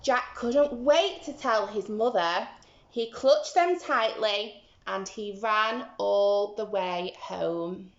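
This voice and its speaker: A woman teacher talking simply and deliberately to young children as she explains and reads a storybook aloud. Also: mid-range voice. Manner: simple, deliberate, instructive, animated when reading. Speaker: early-years school teacher. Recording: roomy, slightly muffled home recording.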